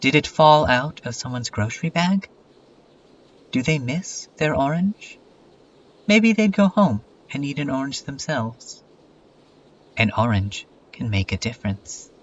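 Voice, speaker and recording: A man playing a morbid, depressed loner who declaims a bleak, deliberate journal monologue in a fan dub. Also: mid-range voice, expressive, recorded from a distance, dull audio, slight background noise, close to an everyday voice. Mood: bleak, wistful. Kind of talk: dramatic reading in a horror comedy fan dub. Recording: music under the voice.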